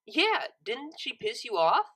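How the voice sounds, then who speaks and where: A woman's voice: sits high, sing-song, slow pace, fairly bright tone, breathy edge, voice pushed up, heavily acted. A woman voicing a brash, spiteful young unicorn mare who talks in slang and gets defensive and flustered. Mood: prodding.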